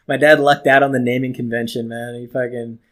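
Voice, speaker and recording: A man co-hosting a podcast in casual, joking banter, riffing freely and swearing as he goes. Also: mid-range voice. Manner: profane, animated riffing. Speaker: podcast co-host.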